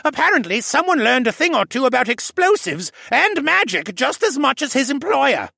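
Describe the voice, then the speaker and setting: A man's voice: sits high, big pitch swings, moderate pace, gravelly, a bit bright, husky, no echo, studio quality, pitched above the performer's own voice, exaggerated voice. A man voicing an older koala man in a fantasy audiobook, a bossy companion who speaks insistently. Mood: stern, barking. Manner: barking orders, insistent.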